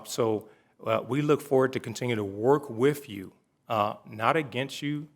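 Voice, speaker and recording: A middle-aged man giving earnest, halting remarks as a commission member at a city council meeting, with frequent restarts. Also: mid-range voice.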